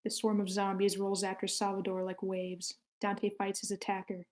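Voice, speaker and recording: A woman's voice: medium pitch, monotone, normal speed, a little mellow. A woman narrating the stage directions of a screenplay table read in short, matter-of-fact sentences.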